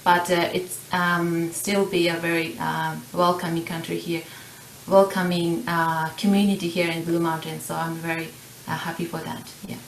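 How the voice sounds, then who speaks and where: Halting woman, echoey and distant. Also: fairly low pitch, flat delivery, a little hiss or hum. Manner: halting, many fillers. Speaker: community worker. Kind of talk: panel introduction. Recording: distant, echoey room with faint ambience.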